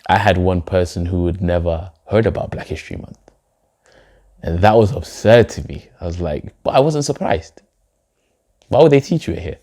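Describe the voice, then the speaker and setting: A young man from Denmark, a poet, giving reflective, measured interview answers. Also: sits low, warm tone. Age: young adult. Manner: reflective, measured, with filler phrases. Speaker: poet and guest. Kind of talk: spoken word poem, then interview answers.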